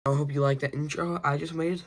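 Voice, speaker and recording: A man hosting a casual guessing-game vlog, informal and excitable. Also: on the high side, quick pace, a little hiss or hum. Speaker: video host.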